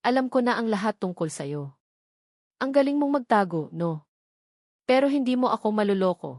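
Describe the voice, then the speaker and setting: Brief: sarcastic, taunting young male villain, lowered dry voice, played by a woman narrator. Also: expressive, normal speed, darkish tone, no room sound, lightly acted. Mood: mocking.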